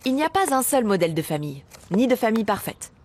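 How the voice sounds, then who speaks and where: A woman's voice: a little high, sing-song, fast, a little mellow. A woman voicing a friendly, patient female dinosaur who explains things cheerily in a children's educational cartoon. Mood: patient, explaining.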